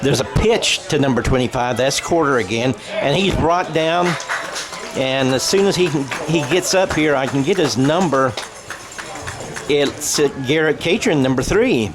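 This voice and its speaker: A man's voice: a little high, measured pace. A man doing radio-style football play-by-play outdoors, with rambling, self-correcting delivery and folksy asides. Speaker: play-by-play announcer. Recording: clear outdoor stadium background noise.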